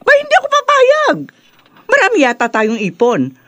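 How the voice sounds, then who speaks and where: A woman's voice: very high-pitched, wildly swooping pitch, normal speed, fairly bright tone, dry recording, heavily acted. An actress playing a proud, pushy, middle-aged mother in a Filipino radio drama, doting and given to exclaiming. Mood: insistent. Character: proud, pushy, flamboyant unwed mother of grown son.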